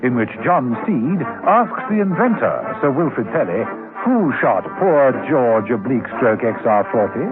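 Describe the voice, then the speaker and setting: A man's voice: medium pitch, very animated, measured pace. A man giving scripted, wry story narration for a radio drama serial. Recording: tinny vintage radio, music behind.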